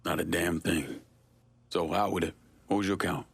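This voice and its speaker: A man's voice: low voice, fairly even intonation, moderate pace, full character voice. A man playing a world-weary, hardened war veteran turned criminal in a video game, with a blunt, laconic manner. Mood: frank, somber. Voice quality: gravelly, husky. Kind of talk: dramatic video game dialogue.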